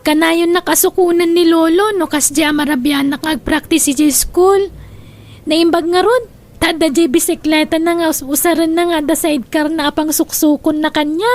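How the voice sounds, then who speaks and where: A woman's voice: very high-pitched, fairly even intonation, moderate pace. A woman with an Ilocano accent playing a sweet, eager schoolgirl in a radio drama.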